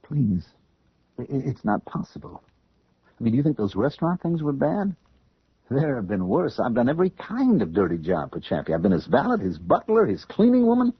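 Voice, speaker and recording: On a muffled, dry 1970s radio drama recording, a young American man in torment and servitude. His lowish, badly roughened voice is earnest and halting, like a confession. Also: wildly swooping pitch, no echo, exaggerated voice. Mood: anguished, bitter.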